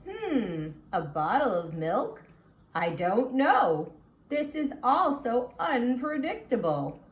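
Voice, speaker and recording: A woman's voice: a little high, very animated, takes it slowly. A woman voicing a mild, ordinary storybook husband who speaks plainly and hesitantly. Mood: puzzled. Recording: roomy, echoing sound.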